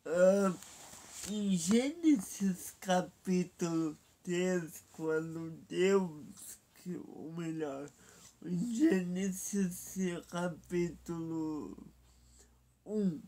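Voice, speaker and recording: A man preaching a Bible sermon. He has a cold, so his voice is nasal and stuffy, and he speaks in a deliberate way, pausing between phrases. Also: high-pitched, mellow. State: has a cold, blocked nose.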